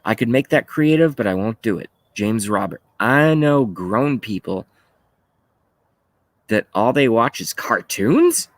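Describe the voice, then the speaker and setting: A man of thirty-five hosting a livestream, talking casually and haltingly as he rants and reads out chat messages, with some swearing. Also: medium pitch, expressive, no room sound. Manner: rambling, halting, often swearing. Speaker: livestream host. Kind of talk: casual political rant while reading live chat.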